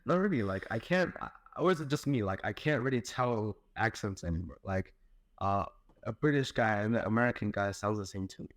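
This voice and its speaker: A young man, his voice sitting slightly low. He speaks as a guest in a loose, hesitant way, leaning on many fillers. The recording is dry, with no room echo. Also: expressive, a little fast. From China. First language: Chinese. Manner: hesitant, heavy use of "like" and "um" fillers. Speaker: guest, content creator. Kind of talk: casual online interview chat.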